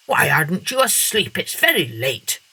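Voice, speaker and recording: A woman voicing a friendly male yard dog in a storybook reading, gruff and coaxing. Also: sits low, very animated, moderate pace, bright, forceful tone, no echo, exaggerated voice.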